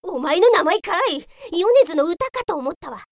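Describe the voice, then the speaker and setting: A woman playing an indignant, sharp-tongued policewoman in a comic audio drama, given to exasperated retorts. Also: very high voice, expressive, moderate pace, no echo, clean studio sound. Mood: indignant scolding. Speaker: voice actor.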